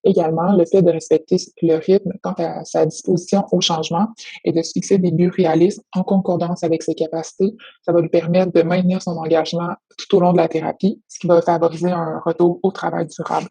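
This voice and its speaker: Female clinician. Mellow, slightly raspy, formal and measured, dry recording. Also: fairly low pitch, flat delivery, no room sound, poor audio. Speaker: occupational therapist. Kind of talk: professional phone consultation, prepared report.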